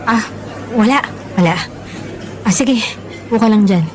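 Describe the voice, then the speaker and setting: An actress playing a kindly, concerned coworker who listens sympathetically in a Filipino radio drama, with conversational delivery. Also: female voice, mid-range voice, moderate pace, a little hiss or hum, lightly acted. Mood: friendly. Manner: gentle, sympathetic, chatty. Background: background music.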